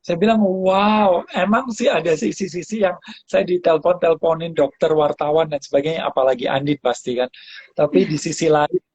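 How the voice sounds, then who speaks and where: A man's voice: fairly high pitch, darkish tone, breathy edge, muffled recording. A man hosting a casual interview, restarting phrases and mixing in some English words. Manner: halting, repeats phrase starts, mixes in English words. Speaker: host interviewing a guest about breathing practice.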